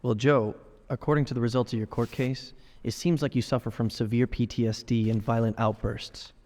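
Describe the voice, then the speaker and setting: A man's voice: mid-range voice, level tone, brisk, darkish tone, natural voice. A man playing a firm, probing police commissioner in an audio drama, with direct, pointed questioning. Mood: stern, probing.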